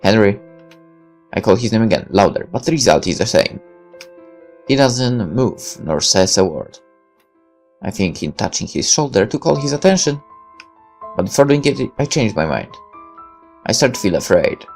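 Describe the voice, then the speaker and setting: Casting: male narrator for a visual novel, creaky low-end edge, very expressive, soft music underneath. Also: normal speed, pauses often. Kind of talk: dramatic first-person visual novel read-aloud.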